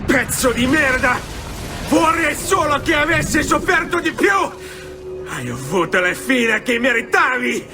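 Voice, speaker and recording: A man's voice: very high-pitched, sing-song, normal speed, music in the background, heavily acted. An actor with an Italian accent playing a vengeful, hot-headed young Italian nobleman turned assassin in a video game. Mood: furious, anguished. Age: young adult.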